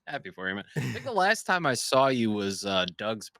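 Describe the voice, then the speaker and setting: A man's voice: on the low side, big pitch swings, moderate pace, vocal fry, a bit bright, dry recording, studio quality. A young man hosting a podcast, chatting loosely, swearing and laughing, wandering off on tangents. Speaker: podcast host. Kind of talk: casual podcast chat.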